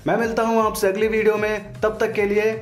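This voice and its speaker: High-pitched man doing informal video commentary. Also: completely flat delivery, brisk, over loud music. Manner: informal, crude slangy joking. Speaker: video host. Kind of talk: trailer breakdown voice-over commentary.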